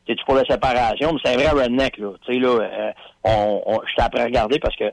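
A man's voice: medium pitch, quick pace, gravelly, no echo, poor audio. A man with a Quebec French accent chatting casually on a radio show, telling stories in folksy slang with lots of fillers. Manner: animated storyteller, folksy slang, many fillers.

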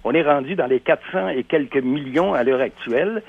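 A man's voice: on the high side, normal speed, gravelly, slightly rough. A man giving emphatic radio commentary, often repeating words as he searches for them. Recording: dry phone-line sound.

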